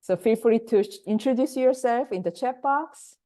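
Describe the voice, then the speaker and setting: A woman's voice: on the high side, moderate pace, dark tone. Korean-speaking woman hosting a webinar. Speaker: workshop host and facilitator. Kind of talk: webinar introduction over video call. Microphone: video call.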